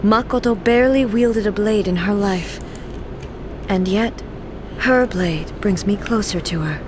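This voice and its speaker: Woman doing a stern god-queen from a game, calm and formal, slow measured lines, mid-pitched, a noisy hiss behind. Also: lightly acted. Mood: solemn. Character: stern solemn female god-ruler, composed, formal. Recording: game audio under background noise.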